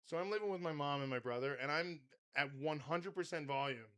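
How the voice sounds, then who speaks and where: A man hosting a podcast in casual chat, with a very loud voice and a rambling, swear-laden way of talking. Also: fairly high pitch, fairly quick. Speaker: podcast host.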